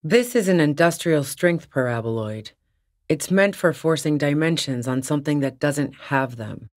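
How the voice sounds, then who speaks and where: A woman's voice: deep voice, expressive, normal speed. A woman voicing a tough, wary fugitive in a video game, blunt, wry and defiant, with profane, slangy talk. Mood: matter-of-fact. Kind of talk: video game character dialogue.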